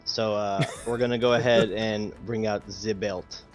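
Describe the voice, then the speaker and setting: A man's voice: on the low side, level tone, measured pace, over loud music. A man talking casually as a player in a tabletop roleplaying game.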